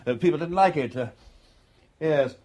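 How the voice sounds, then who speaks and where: An actor playing an evasive English major and politician in a radio drama, upper-class in accent, hesitant and politely stammering. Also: male voice, on the high side, normal speed, a little mellow, close to an everyday voice. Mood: flustered.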